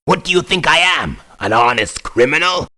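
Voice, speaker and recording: An actor voicing a tough, short-tempered ex-convict mercenary in a video game, with a blunt, streetwise, surly way of talking. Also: male voice, a little high, lively intonation, moderate pace, pushed and bright. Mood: surly, defiant. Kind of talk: video game voice acting, short barked one-liners.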